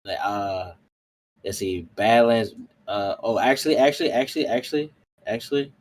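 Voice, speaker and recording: A man's voice: fairly low pitch, unhurried. A man chatting casually as a player in a tabletop roleplay, repeating words as he works things out. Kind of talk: casual game chat.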